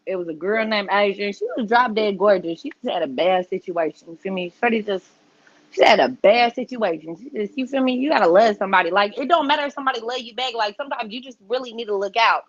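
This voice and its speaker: A woman guest on a podcast, telling a story in a casual, profane, animated way and acting out the voices she quotes. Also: medium pitch, fast talker, dull audio.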